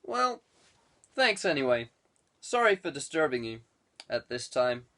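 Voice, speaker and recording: A man's voice: medium pitch, very animated, measured pace, a bit bright. Man reading as a politely probing male detective. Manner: polite, casually inquisitive questioning. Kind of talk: audiobook character dialogue.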